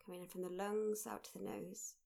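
A woman guides a mindfulness meditation in a mid-pitched voice with plenty of creak. Her delivery is gentle and fairly even, in short phrases with unhurried pauses between them. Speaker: meditation guide, workshop leader.

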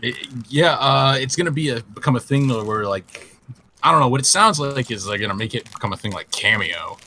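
A man's voice: medium pitch, animated, brisk, sharp tone. A man co-hosting a podcast in casual comedic banter, stammering and joking often. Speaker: podcast co-host.

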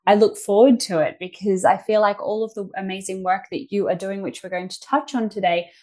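A woman's voice: mid-range voice, steady tone, brisk, darkish tone. A woman hosting an interview in a conversational, effusive and encouraging manner. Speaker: interviewer, host.

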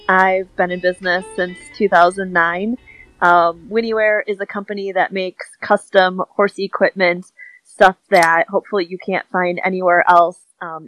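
A woman's voice: lowish voice, a bit bright, rough recording. A woman in her thirties from Wisconsin with a Midwestern accent, chatting as a guest on an interview podcast, laughing often and using plenty of "um" fillers. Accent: Midwestern American (Wisconsin). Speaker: podcast guest, small business owner. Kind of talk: casual interview chat.

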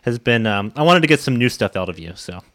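A man's voice: medium pitch, lively intonation, quick pace, a bit bright, no room sound. A man hosting a casual interview, hesitant and often restarting his phrases. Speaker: interview host.

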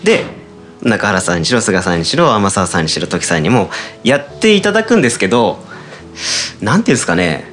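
A man's voice: lowish voice, big pitch swings, a little fast, fairly bright tone, soft background music. A man, a scriptwriter and director, eagerly explaining his ideas with lots of agreeing fillers. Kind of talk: casual chat.

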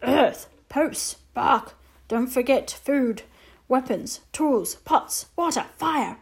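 A woman voicing a calm, proud male warrior who speaks in clipped, broken phrases, slow and deliberate. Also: high voice, vocal fry, slightly rough, heavily acted. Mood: matter-of-fact.

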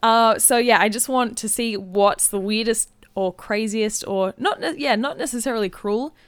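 Clear-voiced woman co-hosting a chatty podcast. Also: fairly high pitch, normal speed, no room sound. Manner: chatty, giggly. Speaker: podcast co-host. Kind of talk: casual chat, explaining a game. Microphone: close podcast microphone.

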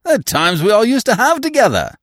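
A man plays a hearty, gushing fellow from a comic storybook, everybody's old chum. His pitch swoops extravagantly and the sound is close and dry. He is effusive and chummy, full of exclamations. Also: wildly swooping pitch, normal speed, a bit bright, dry recording. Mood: exuberant. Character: jovial hearty male sponger, gushing old-chap bonhomie.

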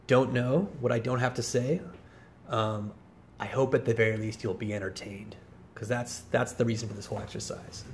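A man around forty talks alone as a podcast host, in a medium-pitched voice. He rambles casually from one digression to the next, wry and quick to mock himself. There is faint noise behind him. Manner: self-deprecating, digressive, wry. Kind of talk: rambling solo casual monologue.

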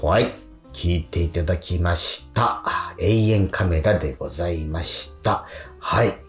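A man's voice: low-pitched, measured pace, roomy sound, soft background music. A man hosting a radio show in a casual, chatty way, effusive and emphatic, often repeating himself.

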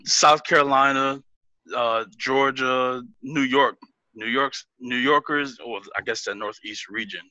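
A man's voice: mid-range voice, steady tone, unhurried, pushed and bright, no echo, muffled recording. A man as an interview guest, laughing easily and explaining things step by step with many restarts. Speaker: business guest. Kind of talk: casual interview talk.

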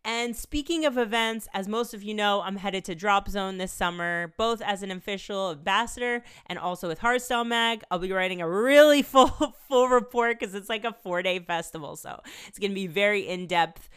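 A woman's voice: mid-range voice, a bit bright, smooth. Slightly congested woman podcast host. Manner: chatty, upbeat, laughs between phrases. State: congested, recovering from a cold. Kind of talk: casual podcast chat and solo outro. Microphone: studio microphone.

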